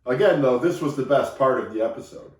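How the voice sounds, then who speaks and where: A man's voice: mid-range voice, expressive, fast talker, a little mellow, reverberant, far from the mic. A man co-hosting a casual wrestling recap podcast, rambling and joking with frequent restarts. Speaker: podcast co-host.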